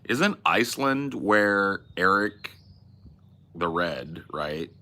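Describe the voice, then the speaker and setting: Streamer host, low and punchy, profane rambling chat. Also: male voice, expressive, drawn-out words, bright, forceful tone. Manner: rambling, profane, restarts and self-corrections. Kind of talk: casual livestream chat reading viewer messages.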